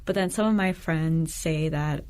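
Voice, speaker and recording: A woman's voice: low-pitched, a little slow, darkish tone, smooth. A woman raised in the Philippines and the United States, Tagalog her first language, speaking as a poet guest in a thoughtful, candid way. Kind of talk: conversational interview.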